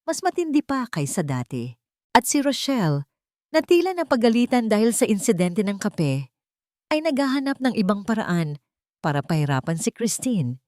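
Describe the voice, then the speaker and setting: A woman's voice: mid-range voice, very animated, normal speed, darkish tone, dry recording, clean studio sound. A woman narrating a scripted romance story in a dramatic storytelling style.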